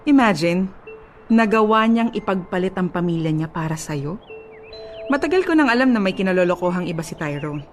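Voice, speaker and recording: A woman's voice: lowish voice, lively intonation, fairly quick, faint music behind, natural voice. A woman playing a haughty, disapproving middle-aged mother in a radio drama, with a Filipino accent and a sarcastic, cutting manner. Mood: scornful.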